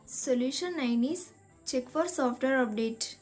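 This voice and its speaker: Woman, high-pitched voice, scripted tutorial narration, measured instructional delivery, music underneath. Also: a little mellow. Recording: voice-over with music behind. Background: background music.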